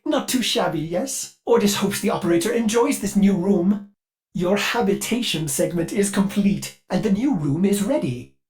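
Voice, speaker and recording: An actor voicing a servile, anxious-to-please ship artificial intelligence in a video game, polite, formal and theatrical. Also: male voice, high-pitched, darkish tone. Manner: polite, formal, theatrical, speaks of himself in third person. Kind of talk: scripted video game character lines.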